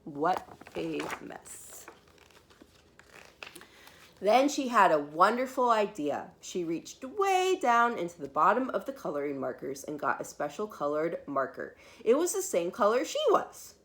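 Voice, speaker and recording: A woman reads a picture book aloud to young children. Her voice is bright and swings freely up and down. She is expressive and emphatic, leaving dramatic pauses as she tells the story. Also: fairly low pitch. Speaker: preschool teacher reading a children's book aloud.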